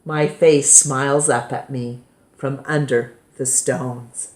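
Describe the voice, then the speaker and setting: A woman reading a children's picture book aloud in a measured, gently expressive way. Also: extremely low pitch, slight background noise. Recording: roomy, echoing indoor sound.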